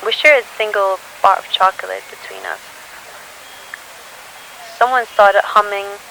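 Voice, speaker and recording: A woman playing a weary, sorrowful teenager hiding in post-apocalyptic ruins, speaking a halting, solemn audio diary. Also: medium pitch, sharp tone, low-quality recording. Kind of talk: scripted audio diary monologue. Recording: hissy recording with faint noise.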